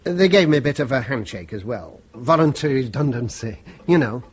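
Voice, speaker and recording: A man's voice: mid-range voice, lively intonation, brisk, husky. An actor playing an easygoing, self-deprecating British working man in his sixties, deferential and a little hesitant, in a radio play. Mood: chatty, easygoing. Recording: dull, noisy radio play recording.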